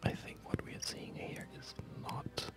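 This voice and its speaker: A man giving hushed, unhurried and rambling ASMR commentary over a game he is playing, speaking in a whisper. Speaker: gaming video host.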